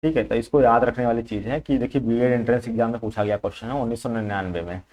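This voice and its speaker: A man's voice: medium pitch, fairly even intonation, rapid-fire, darkish tone. A man working through exam questions as a coaching teacher, explaining informally, repeating points and mixing in English terms.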